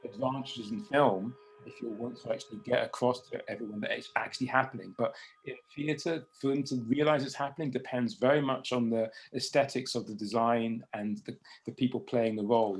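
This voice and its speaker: A man's voice: mid-range voice, fairly even intonation, normal speed, rough recording. A man hosting a panel discussion in a chatty, informal, conversational way.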